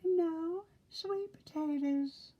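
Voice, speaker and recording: A jumpy little boy pig from a storybook, done by a woman, raised well above a normal speaking pitch. He is cautious, easily alarmed and emphatic. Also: extremely slow, mellow, highs cut off, full character voice. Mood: contradicting.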